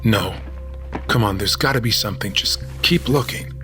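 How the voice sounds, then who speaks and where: An actor playing a driven, suspicious police officer in an audio drama, insistent and urgent. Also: male voice, fairly low pitch, normal speed, sharp tone, faint music behind.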